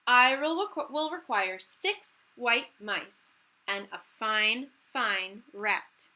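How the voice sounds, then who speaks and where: A woman voicing a kindly, brisk little fairy godmother in a storybook, giving emphatic instructions with drawn-out words. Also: fairly high pitch, lively intonation, bright, forceful tone, full character voice. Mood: instructing.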